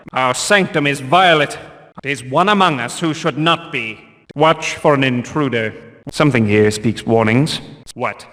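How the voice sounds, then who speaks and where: Video game zealot guard, stern and pious, archaic phrasing; bright, forceful tone on the higher side. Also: male voice. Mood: watchful. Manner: archaic, solemn, pious speech. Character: zealous male temple guard of a stern religious order. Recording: long cavernous reverb.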